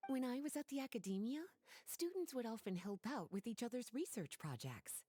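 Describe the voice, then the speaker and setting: An actress playing a poised, teasing, scholarly sorceress in a video game, coy and polished. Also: female voice, a little high, expressive, fairly quick, warm tone, slight background noise, close to an everyday voice. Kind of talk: video game character acting. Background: game music.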